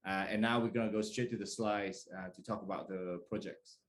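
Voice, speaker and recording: Man, fairly low voice, from Australia, halting delivery, informal presenter. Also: steady tone. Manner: hesitant, halting. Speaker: team presenter. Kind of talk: informal project introduction.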